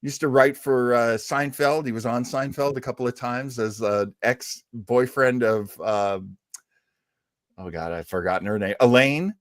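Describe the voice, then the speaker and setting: A man's voice: mid-range voice, a little slow, no room sound, studio quality. A man hosting a casual talk show discussion, emphatic and given to repeating phrases to make his point. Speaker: podcast host.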